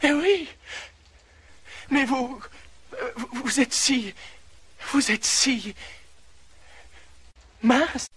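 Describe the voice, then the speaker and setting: A performer playing a dazed, flustered young man in an amateur film dub, hushed and stammering. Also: high-pitched, lively intonation, drawn-out words, pauses often, breathy edge, heavily acted. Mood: flustered, bewildered. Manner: hesitant, stammering.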